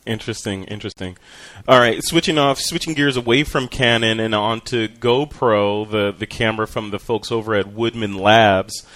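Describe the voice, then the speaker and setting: A man's voice: fairly low pitch, moderate pace, no room sound. A man hosting a podcast, chatting casually through photography news with frequent fillers. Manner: relaxed, talkative with fillers and restarts. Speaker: podcast host.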